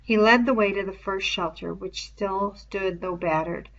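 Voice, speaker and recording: A woman narrating a novel aloud in an expressive, literary storytelling style. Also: medium pitch, a little slow, muffled recording.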